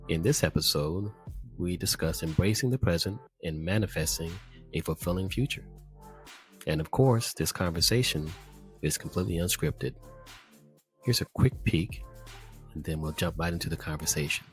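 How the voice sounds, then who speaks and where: Deep-voiced upbeat male podcast host. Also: moderate pace, long pauses, soft background music. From United States. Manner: upbeat, effusive, friendly. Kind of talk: casual podcast interview.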